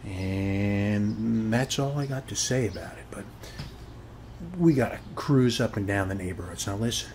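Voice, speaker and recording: A man's voice: on the low side, a little slow, darkish tone, slight background noise. An American man performing an ASMR roleplay as a chatty, boastful suburban neighbor, rambling in a folksy way and often checking in with the listener.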